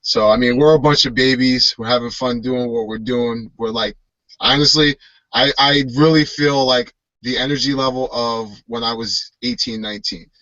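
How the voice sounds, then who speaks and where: An upbeat, friendly man in his thirties hosts a live video call. His voice is bright and forward, sounding dry and close, through dull lo-fi call audio. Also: medium pitch, fairly even intonation, normal speed, dry recording. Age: 30s. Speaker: host.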